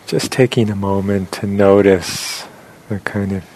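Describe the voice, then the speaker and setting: A man leading a guided meditation as a teacher, speaking gently and with long pauses. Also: lowish voice, fairly even intonation, a little hiss or hum, low-quality recording.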